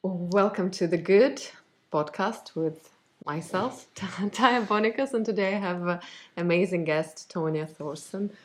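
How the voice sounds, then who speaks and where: A woman's voice: fairly low pitch, measured pace. A woman hosting a podcast, introducing her guest enthusiastically and asking questions in a casual way with frequent fillers. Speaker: podcast host. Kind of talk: casual interview.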